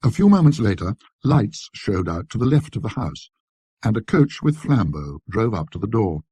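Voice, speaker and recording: Low, dark-voiced male storyteller, deliberate and precise. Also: very animated, breathy edge, no room sound. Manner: precise, scholarly, measured, dramatic pauses. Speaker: narrator. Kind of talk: scripted ghost story narration, classic British literary reading.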